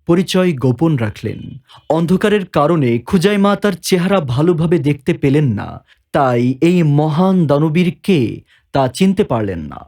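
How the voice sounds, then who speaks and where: A man's voice: a little high, sing-song, measured pace, darkish tone. A man narrating a scripted story as its narrator. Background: background music.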